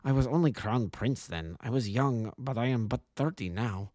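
A man voicing a huge, agitated king in his thirties with a German accent and a deep, harsh voice, speaking formally in a storybook reading. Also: darkish tone, no room sound, studio quality, lightly acted. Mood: distressed. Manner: formal, grandiose, deliberate. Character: agitated, imperious masked king in his thirties, gigantic nobleman.

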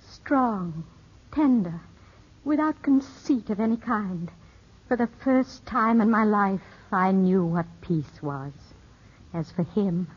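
An actress in a 1950s radio drama playing a poised, enigmatic middle-aged widow, speaking in a measured, intimate, restrained way. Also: female voice, medium pitch, slightly rough, close to an everyday voice. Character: poised, enigmatic widow of about thirty-five, wounded and gracious. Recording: dull, muffled old radio recording.

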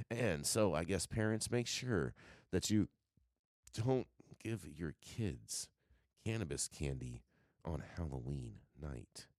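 A man's voice: lowish voice, darkish tone, dry recording, studio quality. A man hosting a casual news chat, sarcastic, often stumbling and restarting mid-sentence. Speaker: news show host.

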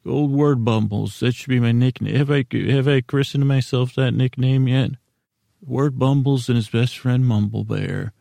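A man hosting a sleep podcast in a casual, meandering monologue, halting and mumbling in a self-deprecating way. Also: mid-range voice, fairly even intonation, creaky, mellow, no room sound. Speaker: podcast host.

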